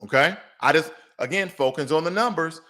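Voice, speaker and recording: A man's voice: fairly high pitch, a little fast, pushed and bright. Emphatic American man giving commentary. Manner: didactic, emphatic, often checking in with "okay" and "right". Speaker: host of a news commentary show. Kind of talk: commentary explaining charts to viewers.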